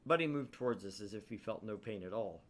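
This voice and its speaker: Low, lively man reading a visual novel. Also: quick pace. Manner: theatrical, irreverent asides. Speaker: gaming channel host reading aloud. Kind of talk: visual novel narration with casual commentary.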